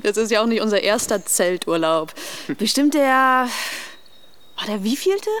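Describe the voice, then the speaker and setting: An actress playing a gentle, dreamy wife in a radio drama, soft-spoken and accommodating. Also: female voice, a little high, animated, measured pace, clear, resonant tone. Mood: chatty. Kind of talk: German radio drama acting.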